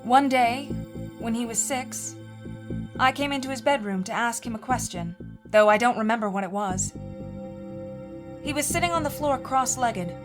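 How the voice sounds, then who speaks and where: A woman playing a haunted mother in her forties, telling a first-person horror story in a measured, earnest, confiding way. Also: fairly high pitch, close to an everyday voice. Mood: sober, confiding. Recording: music bed under the voice.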